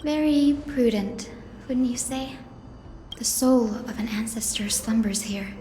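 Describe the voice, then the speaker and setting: An actress playing a gentle, earnest young woman descended from sky people, polite and formal, in a fantasy video game. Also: a little high, measured pace, a little hiss or hum, natural voice. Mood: calm, explaining. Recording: echoey hall reverb, music underneath.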